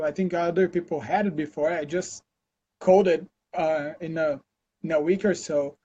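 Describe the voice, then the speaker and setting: A Brazilian man with a Brazilian accent, answering interview questions in a relaxed, chatty way full of fillers and restarts, over a video call. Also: sits high, breathy edge. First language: Portuguese. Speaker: interview guest, scientist. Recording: dull lo-fi call audio.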